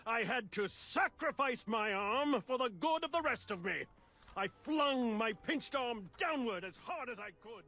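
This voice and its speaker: An actor playing a vain knight in a fantasy game, telling of himself in a pompous, melodramatic way. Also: male voice, very high voice, pushed and bright, full character voice. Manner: pompous, dramatic, drawn-out delivery. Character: vain, melodramatic male knight boasting of exploits. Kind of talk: animated video game acting.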